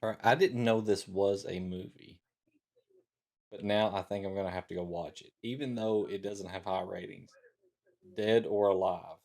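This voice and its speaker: Low-voiced man, casual co-host. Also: steady tone, moderate pace, creaky, a little mellow. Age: middle-aged. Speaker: talk show co-host. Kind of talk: casual chat.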